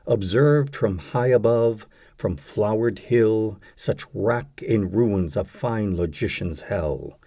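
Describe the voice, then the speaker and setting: A man narrating a poetry audiobook, reciting scripted verse in a measured, deliberate way. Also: lowish voice, warm tone, breathy edge, dry recording, clean studio sound.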